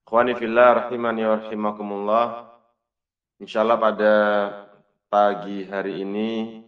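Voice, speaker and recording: A man's voice: fairly low pitch, flat delivery, normal speed. A man teaching an Islamic lesson in a didactic way, explaining a text through questions and answers. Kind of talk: religious lesson, reading Arabic text and explaining it. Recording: muffled, distant microphone.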